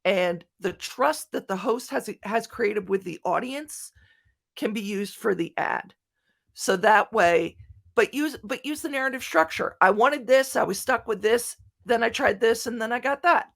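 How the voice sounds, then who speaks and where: A woman with a Philadelphia American accent answering questions as a guest speaker, animated and halting, often restarting her sentences. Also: fairly high pitch, creaky. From Philadelphia, United States. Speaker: guest speaker, podcast writer. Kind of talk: conference question-and-answer talk.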